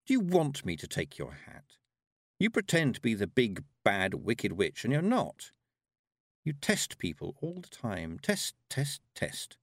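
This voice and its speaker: A man's voice: medium pitch, huge pitch swings, unhurried, dry recording, studio quality, heavily acted. A man voicing a plucky, clever young apprentice witch, an earnest and direct girl in a storybook reading. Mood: bold.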